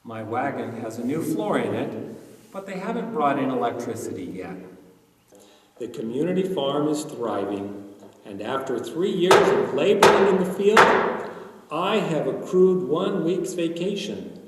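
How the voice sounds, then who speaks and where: An earnest, awkward young pioneer bachelor played by a male storyteller speaks plainly with animated pitch. It is a slow, dramatic story reading in a reverberant hall. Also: a little hiss or hum, natural voice. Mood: matter-of-fact. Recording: echoey hall, distant microphone.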